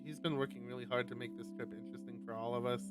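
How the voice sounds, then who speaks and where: A man streaming a visual novel, reading it aloud and commenting casually and expressively, thinking out loud and swearing. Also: medium pitch, fairly even intonation, rapid-fire. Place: live game stream. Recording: distant mic, music over voice.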